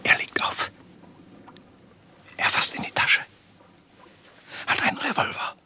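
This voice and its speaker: An actor playing a furtive, patient investigator in a radio crime play, murmuring terse observations in a hushed voice, away from the microphone. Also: male voice, takes it slowly, in a whisper, distant mic, natural voice. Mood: tense whisper. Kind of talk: vintage German radio crime play acting.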